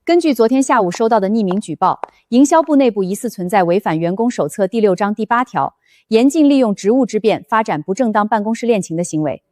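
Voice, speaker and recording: A woman's voice: medium pitch, lively intonation, fast talker, a little mellow, no room sound, natural voice. Woman playing a strict rule-obsessed lady boss in a cartoon drama, mid voice, officious and accusing, very dry audio. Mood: formal, stern. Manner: formal, accusatory, bureaucratic. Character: stern, officious female manager policing office rules. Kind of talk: animated short-drama dialogue.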